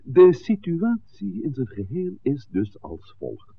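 A man acting a calm, authoritative village priest in a 1960s radio play, speaking deliberately and oratorically. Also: medium pitch, breathy edge, exaggerated voice. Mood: measured, firm. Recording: tinny, noisy 1960s radio recording.

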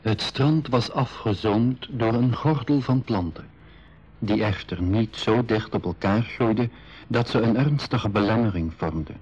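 A man narrating his own adventure calmly in a scripted 1980s radio play, with a husky voice. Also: fairly low pitch, a little slow, a little hiss or hum, natural voice. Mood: descriptive. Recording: slightly dull 1980s radio recording.

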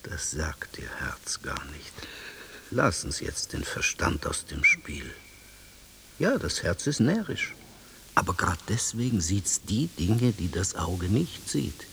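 An actor playing a gentle but insistent confidant in a radio play, speaking in a hushed, intimate, persuasive way over hiss. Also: male voice, sits low, big pitch swings, takes it slowly, creaky, heavily acted. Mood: quietly urging. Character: gentle, insistent male confidant coaxing a troubled soul. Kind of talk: German radio play acting.

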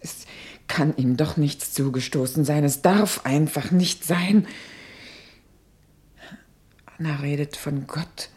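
Worn-out worried mother, very low creaky voice, slow weary delivery, terse, radio drama. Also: darkish tone. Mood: weary, anxious. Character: anxious, exhausted mother waiting for her missing child. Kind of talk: German radio play acting.

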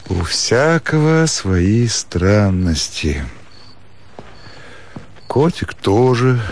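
An actor playing a respectable, intelligent country doctor musing to himself in a radio drama, with reflective pauses. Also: male voice, lowish voice, a little mellow, a little hiss or hum, full character voice. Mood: wondering. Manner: reflective interior monologue with drawn-out pauses.

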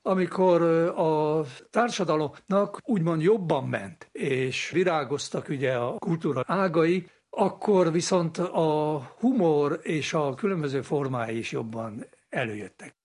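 A man explaining a scholarly subject in a measured, lecturing way, with hesitant fillers. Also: a little high, darkish tone. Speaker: expert guest.

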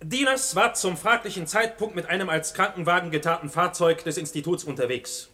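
High, bright-voiced defence lawyer. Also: male voice, brisk. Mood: insistent. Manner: formal, argumentative. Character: eager, persuasive male defence lawyer. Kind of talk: German radio play acting.

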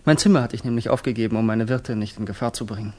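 An actor in a 1960s German radio drama playing an idealistic young Russian revolutionary, earnest, fervent and trusting. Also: male voice, lowish voice, steady tone, very fast, a little mellow, close to an everyday voice. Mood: eager, confiding.

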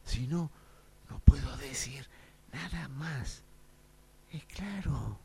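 A man in a radio drama exercise playing a guarded, deliberate man demanding clarity, his voice breathy and creaky, with a low hum. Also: mellow. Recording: low hum and background noise.

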